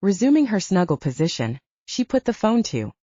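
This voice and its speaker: A female audiobook narrator reading scripted fiction. Her voice is mellow, with a widely varied, lively intonation. The sound is close and dry, though a little dull. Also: mid-range voice, moderate pace, no echo.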